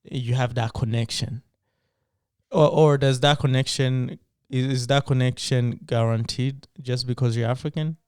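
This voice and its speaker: A man from Burundi debating on a podcast, pressing with probing questions. His voice is smooth and resonant at an ordinary male pitch. The sound is dry and close-miked. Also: steady tone, measured pace, dry recording. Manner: probing, questioning, laughs easily.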